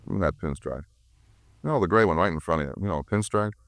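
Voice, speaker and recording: Shifty 50s radio crook, american, really deep, quick talker, slangy and evasive. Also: male voice, creaky, no room sound, studio quality. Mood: cocky, offhand. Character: evasive, cocky small-time male crook under questioning. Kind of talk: 1950s radio crime drama acting.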